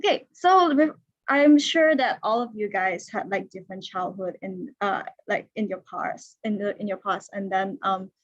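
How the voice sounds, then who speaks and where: A woman's voice: medium pitch, moderate pace, no room sound, dull audio. A woman of twenty-one hosting an online panel, chatty, with many fillers and restarts. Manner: chatty, heavy use of "like" and restarts. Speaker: panel moderator. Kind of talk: casual online workshop hosting. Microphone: video call.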